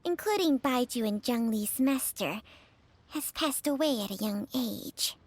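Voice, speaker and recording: A woman's voice: on the high side, animated, measured pace, a little mellow, dry recording. A woman voicing a small talking snake companion in a video game. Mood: matter-of-fact. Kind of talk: dramatic game dialogue.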